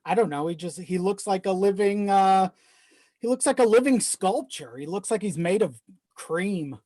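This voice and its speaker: Wry man with a high voice, dry sound. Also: normal speed, a little mellow, no room sound. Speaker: podcast guest. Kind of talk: casual comedic chat.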